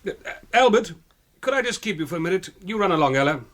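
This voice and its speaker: An actor playing a shrewd gentleman sleuth in a radio drama, polite, clipped and probing. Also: sits high, animated, quick pace.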